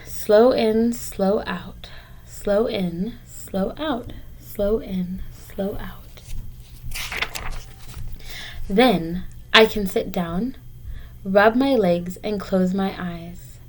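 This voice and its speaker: A woman's voice: medium pitch, very slow, long pauses, a little mellow, rough recording. A woman teacher reading a picture book aloud to young children, explaining and encouraging them in simple, direct words.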